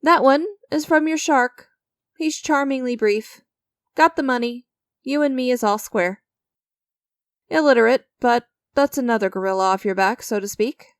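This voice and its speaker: A woman's voice: a little high, moderate pace, smooth, dry recording, clean studio sound, lightly acted. A woman playing an enigmatic, creepy stranger who strikes sinister deals in a dramatic audio roleplay, teasing and theatrical with a smug, coaxing manner. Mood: smug, playful.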